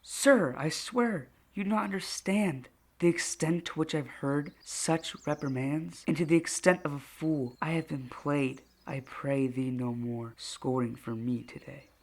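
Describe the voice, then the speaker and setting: A man's voice: on the high side, unhurried, hardly any pauses, darkish tone. A man playing a melancholic boy in a puppet film, speaking formal, earnest verse. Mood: bitter, sorrowful. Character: melancholic rhyming boy, bitter yet earnest.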